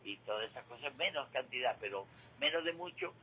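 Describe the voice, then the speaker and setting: Forceful man, emphatic radio commentator. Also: mid-range voice, animated, a little fast, lo-fi sound, a little hiss or hum. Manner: emphatic, didactic, repeats points and asks rhetorical questions. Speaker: radio commentator on current affairs. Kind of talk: opinionated conversational radio commentary.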